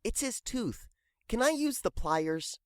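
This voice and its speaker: Woman as an eager, high-pitched young boy. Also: very animated, slow, no echo, clean studio sound. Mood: anxious, earnest. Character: eager, anxious young boy pet sitter. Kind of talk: children's storybook read-aloud.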